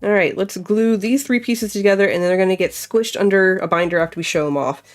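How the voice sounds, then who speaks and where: A woman's voice: medium pitch, quick pace, faint music behind. A young woman chatting casually as she crafts, thinking aloud and filling her talk with "like.". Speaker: crafting vlogger. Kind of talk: casual chat while crafting.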